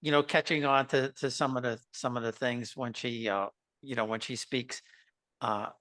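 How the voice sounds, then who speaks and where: Halting New man. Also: medium pitch, steady tone, sharp tone. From Queens, New York. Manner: halting, with many restarts. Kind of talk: conversational interview.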